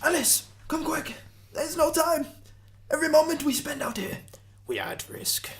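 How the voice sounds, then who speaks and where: Frantic, fretful male rabbit for radio play, played by a woman; high, hurried exclamations, noise behind. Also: expressive. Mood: urgent. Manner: urgent, exclaiming in short repeated bursts. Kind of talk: radio drama acting. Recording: clearly noisy recording with clicks.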